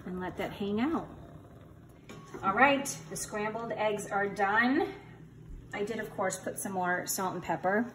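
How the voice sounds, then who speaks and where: A middle-aged woman chats her way through a cooking demo, teasing herself as she goes. She is recorded on a phone in her home kitchen. A pan sizzles clearly in the background. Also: mid-range voice, fairly bright tone, slight background noise, poor audio. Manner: chatty, joking, self-teasing. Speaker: home cook vlogger.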